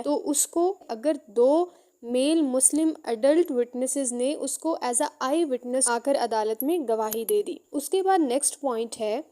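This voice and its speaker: Mellow, higher-voiced Pakistani woman teaching. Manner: code-switches between Urdu and English, slows down when reading out. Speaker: law lecturer. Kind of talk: explanatory lesson, reading out points then explaining them.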